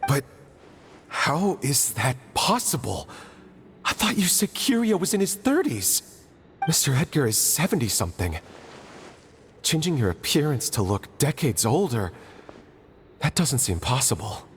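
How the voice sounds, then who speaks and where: A man's voice: medium pitch, very animated, a little hiss or hum. A man voicing a loyal, anxious young man in a game who speaks hesitantly, stumbling over his words. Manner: hesitant, halting, pleading. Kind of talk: voiced game dialogue.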